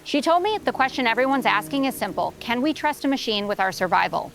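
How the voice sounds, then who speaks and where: A woman playing a serious television field reporter in an audio drama, speaking in a measured, formal news delivery. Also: on the high side, lively intonation, gravelly, a bit bright.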